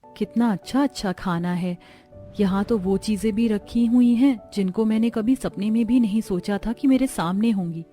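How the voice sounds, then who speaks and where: A meek young daughter-in-law from a cartoon , played by a woman, timid and plaintive. Her voice is medium-pitched, with music playing behind it. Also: moderate pace, dark tone, close to an everyday voice. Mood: sad, timid. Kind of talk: cartoon story voice acting.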